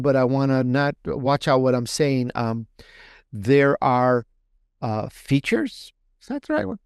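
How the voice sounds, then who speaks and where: A man with a mid-range voice speaks as a guest author in a casual interview. He feels his way through sentences, repeating phrases to underline them. The sound is dry and close, free of room echo. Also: mid-range voice. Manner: halting and repetitive, emphasizes by restating phrases. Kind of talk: casual promotional interview talk.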